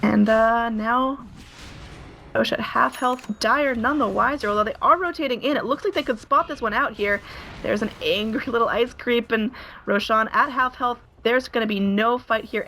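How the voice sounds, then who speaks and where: A hoarse woman calls an esports match live with a slightly high, gravelly voice. She keeps up a steady running narration and often fills gaps with "uh". A faint hiss sits under her voice. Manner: fast running narration with frequent "uh" fillers. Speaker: esports commentator. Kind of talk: live play-by-play game commentary.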